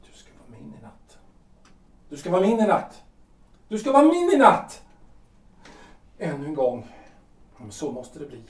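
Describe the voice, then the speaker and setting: A man playing an anguished, possessive husband in his forties in a period audio drama, halting and repeating his phrases. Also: fairly high pitch, wildly swooping pitch. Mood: desperate, possessive. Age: 40s. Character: anguished middle-aged husband, lawyer and politician, pleading and possessive. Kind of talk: audio drama acting of a period stage play. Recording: roomy, distant microphone.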